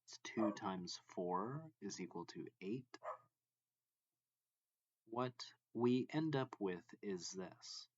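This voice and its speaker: A man with a low voice reads a textbook lesson aloud. He goes very slowly and deliberately, setting out each short phrase on its own. The recording is a little dull. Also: lively intonation. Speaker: math reading tutor.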